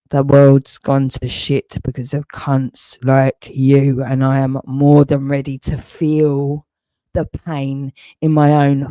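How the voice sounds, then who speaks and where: A man's voice: medium pitch, dark tone, no echo. A man, delivering a furious, threatening rant full of swearing and repeated questions. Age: 40s.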